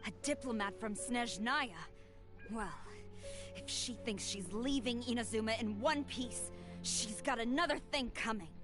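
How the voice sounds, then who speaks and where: Actress as a stern young game general, firm and righteous, a bit high. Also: female voice, a little slow, music in the background, natural voice. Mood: resolute. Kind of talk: video game voice acting.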